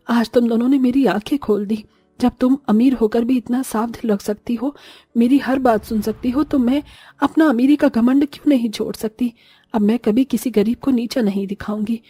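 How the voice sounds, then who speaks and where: A woman's voice: a little high, mellow, husky, no room sound. A woman voicing an imperious, contemptuous middle-aged mother-in-law in a cartoon story. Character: haughty, scolding rich mother-in-law in a cartoon. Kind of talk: Hindi cartoon morality story acting.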